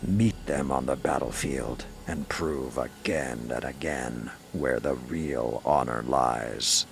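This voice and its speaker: An actor playing a stern, blunt, war-hungry military commander in a video game, speaking gravely and emphatically. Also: male voice, very deep voice, slow, a little hiss or hum, heavily acted. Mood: grim contempt. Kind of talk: scripted video game dialogue. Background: music.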